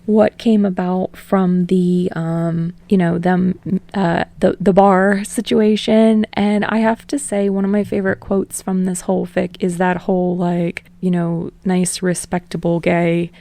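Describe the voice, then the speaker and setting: A woman's voice: on the low side, little pitch movement, a little slow, gravelly, hardly any pauses, dark tone, no echo. A woman host chatting casually to listeners in a hesitant, informal, rambling way. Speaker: podfic host.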